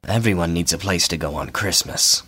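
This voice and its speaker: A man's voice: sits low, a little fast. A man playing a brooding, arrogant rival with a terse, disdainful manner in a radio play. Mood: curt, brooding. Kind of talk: scripted radio play acting. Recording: loud music behind the voice.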